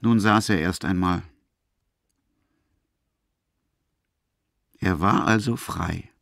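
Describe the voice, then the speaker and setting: A man plays the narrator of a dark literary radio drama. His voice sits low and is full of gravelly creak, telling a grim story in the third person. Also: normal speed, no echo, clean studio sound. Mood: measured narrating. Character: male third-person narrator of a grim tale about a released madman.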